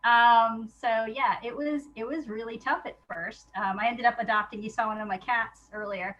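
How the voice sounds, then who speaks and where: A woman's voice: fairly high pitch, steady tone, normal speed, fairly bright tone, highs cut off. A woman speaking as an interview guest in a casual, reflective way, candid and hesitant, often restarting her thoughts. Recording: noticeable background noise.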